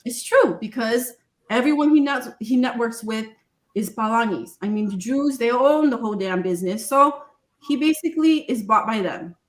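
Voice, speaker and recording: A woman's voice: on the high side, normal speed. A woman, a guest on a live panel, speaking emphatically and repeating her points. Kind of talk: casual live panel discussion. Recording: roomy echo.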